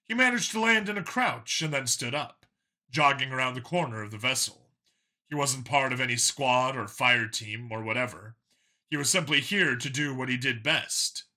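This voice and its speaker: A man's voice: medium pitch, bright, forceful tone. A man reading a scripted story as a dramatic audiobook narrator.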